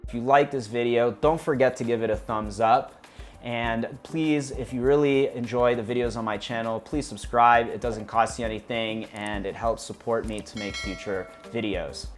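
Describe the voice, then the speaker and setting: A man's voice: mid-range voice, steady tone, moderate pace, faint music behind. An American man chatting directly to viewers as he narrates a travel review of his flight. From United States. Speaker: travel vlogger.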